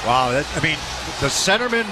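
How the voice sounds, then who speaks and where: A man's voice: a little high, big pitch swings, brisk. A man giving unscripted hockey analysis as a radio color commentator.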